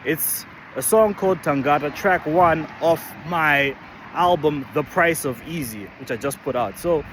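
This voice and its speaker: There is a faint wash of urban outdoor noise around this man from Malawi. His voice sits a little higher than most men's. He explains things deliberately, in short phrases set apart by pauses. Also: a bit bright. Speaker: musician. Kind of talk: explanatory talk to camera.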